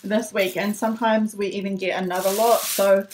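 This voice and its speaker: A woman's voice: mid-range voice, flat delivery, normal speed, a little hiss or hum, rough recording. A young woman casually chatting through her grocery haul at a kitchen table, with lots of ums and uhs. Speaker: vlogger showing a grocery haul. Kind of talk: casual chat. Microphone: phone camera held over the table.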